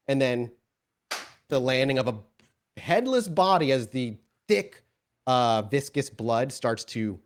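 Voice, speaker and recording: A man runs a tabletop roleplaying game as storyteller. His voice sits at an average pitch. He moves between vivid, descriptive narration and conversational explanation of the rules.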